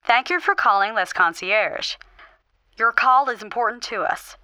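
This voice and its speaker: A woman's voice: on the high side, measured pace, sharp tone, dry recording. A woman playing a prim formal phone greeter. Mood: automated. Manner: crisp, formal phone politeness. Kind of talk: comedy sketch acting.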